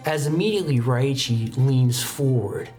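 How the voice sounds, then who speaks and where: A man's voice: medium pitch, moderate pace, a little mellow. A man runs a tabletop game as its storyteller. He describes scenes dramatically and slips into casual asides, with music playing underneath. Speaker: game master. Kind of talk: narrating a tabletop roleplaying game.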